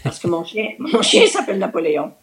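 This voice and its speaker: A woman's voice: fairly low pitch, sing-song, a little fast, a bit bright. An older woman from New Brunswick with a Québécois French accent, a cheerful and talkative interview guest. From New Brunswick, Canada. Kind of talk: casual remote interview.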